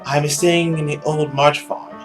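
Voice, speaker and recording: A man's voice: on the high side, little pitch movement, normal speed, over music, lightly acted. A man playing a world-weary immortal demon who poses as a nobleman, musing theatrically through his journal in a visual novel. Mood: wistful musing. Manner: brooding, musing, theatrical diary reading.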